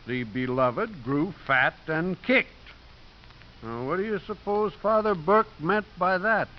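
A muffled vintage radio recording with faint hiss. a puzzled man who talks very slowly and haltingly, in a medium-pitched voice. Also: lively intonation. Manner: deliberate, halting. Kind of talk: 1950s radio drama acting. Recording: muffled, hissy old 1950s radio recording.

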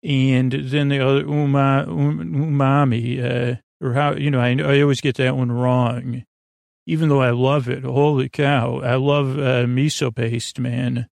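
Creaky-voiced man, rambling softly and soothingly. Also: medium pitch, level tone, a little slow, no room sound. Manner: meandering, fumbles words, silly and self-deprecating; soothing, lulling. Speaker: podcast host. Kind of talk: rambling, improvised sleep podcast monologue.

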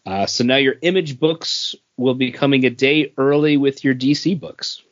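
A man's voice: mid-range voice, moderate pace. Blunt man in lo-fi podcast chat. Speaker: podcast co-host. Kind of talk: casual pop culture podcast chat.

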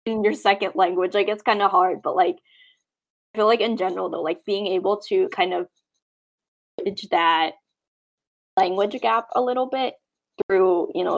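A woman's voice: on the low side, gravelly, dull audio. A young woman in casual podcast chat, thoughtful and full of "like" fillers.

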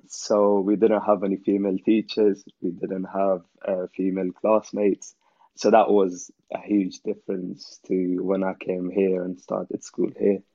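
A man's voice: sits low, no room sound. A young man from Afghanistan, chatting as an interview guest and telling his story easily, with lots of "you know". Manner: relaxed storytelling with many "you know" fillers. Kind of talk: casual interview chat. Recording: dull, dry lo-fi sound.

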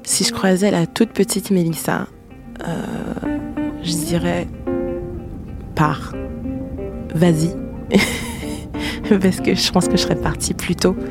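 A woman's voice: on the low side, steady tone, slow, long pauses, over loud music. A Québécois French woman in her thirties, a singer-songwriter casually recounting memories, chatty and laughing often. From Quebec, Canada.

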